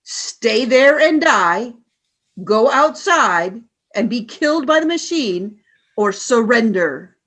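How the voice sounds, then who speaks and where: A woman playing a cold, ruthless alien commander in a radio play, speaking in measured, commanding taunts. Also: fairly high pitch, animated, a bit bright, heavily acted. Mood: taunting.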